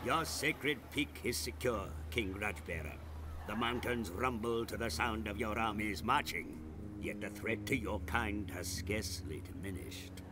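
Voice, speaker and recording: Man acting a deep, grave royal war advisor. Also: measured pace, background noise, close to an everyday voice. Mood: grave, urging. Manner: formal, solemn, archaic phrasing. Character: grave, loyal male advisor to a dwarf king. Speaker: campaign advisor in a video game. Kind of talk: scripted fantasy game narration.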